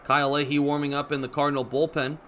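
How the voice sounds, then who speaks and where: A young man delivers live baseball commentary for radio. His voice sits high and has a sharp, forceful brightness, and he describes the action in short, clipped phrases. Faint ballpark noise runs behind him. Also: fast. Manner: narrates action in short clipped phrases, building to excited calls. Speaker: baseball play-by-play announcer.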